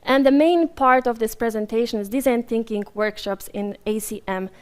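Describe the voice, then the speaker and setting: Polish woman, conference speaker, higher-pitched, friendly rambling delivery with restarts. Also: normal speed. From Poland. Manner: chatty and apologetic, with frequent fillers and restarts. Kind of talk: live conference presentation. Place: conference room with a live audience. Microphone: handheld or stage microphone while moving around.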